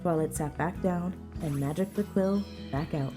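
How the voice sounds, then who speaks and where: A woman's voice: low voice, normal speed, mellow, soft background music. A woman reading a story aloud as narrator in a scripted narration style. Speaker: narrator, fan fiction reader.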